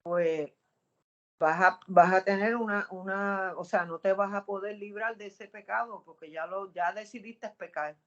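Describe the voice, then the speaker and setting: Woman, study member, halting, mid pitch, dull line. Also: fairly even intonation, a bit bright. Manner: halting, searching for words. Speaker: Bible study participant. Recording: muffled call audio.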